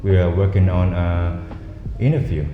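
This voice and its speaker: A man hosting an interview in an office, speaking casually with halting restarts and fillers. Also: sits low, steady tone, slight background noise. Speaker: host. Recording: echoey, dull sound with music bed.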